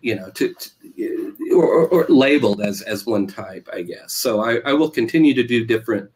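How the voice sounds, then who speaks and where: A middle-aged man gives informal interview answers. His voice sits at an average male pitch. He speaks haltingly, interrupting himself and circling back to his point. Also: expressive, a little mellow, slightly rough, rough recording. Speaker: author being interviewed, teacher.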